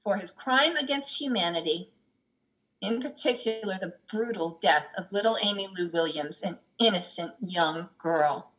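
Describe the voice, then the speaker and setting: Lady doing a stern bossy judge, sharp voice barking orders, stage play over a dull video call. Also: medium pitch, normal speed, lightly acted. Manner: imperious, emphatic shouted orders. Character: stern, commanding female judge in a courtroom.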